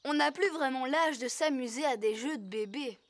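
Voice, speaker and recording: A woman's voice: high voice, normal speed, dry recording, close to an everyday voice. A woman voicing a snooty young boy squirrel in a French cartoon dub, putting on grown-up airs. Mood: haughty.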